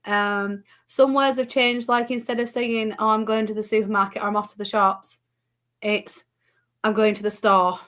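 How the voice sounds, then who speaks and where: Fast-talking Yorkshire woman. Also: mid-range voice, flat delivery, a bit bright. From Yorkshire, England. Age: adult with children. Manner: fast-talking, laughs easily. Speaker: guest. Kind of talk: casual chat.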